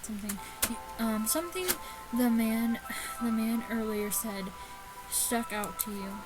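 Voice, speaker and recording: A woman with a fairly high voice plays host of a let's play, reading story text very slowly. She stumbles and drops in casual asides as she goes. Clear music plays behind her. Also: rough recording. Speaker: host of a gaming video, reading a visual novel aloud. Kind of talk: casual chat and read-aloud let's play.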